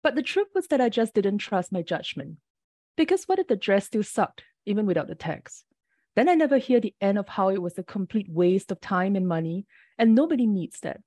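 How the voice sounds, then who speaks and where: Mellow-voiced woman reading poetry. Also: medium pitch, big pitch swings, dry recording, studio quality. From Singapore. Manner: measured, deliberate reading with brief asides. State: slightly off early-morning voice. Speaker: poet.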